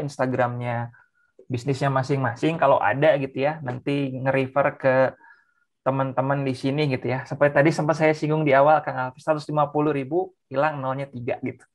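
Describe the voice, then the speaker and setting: Casual man hosting a chat. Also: mid-range voice. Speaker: podcast host.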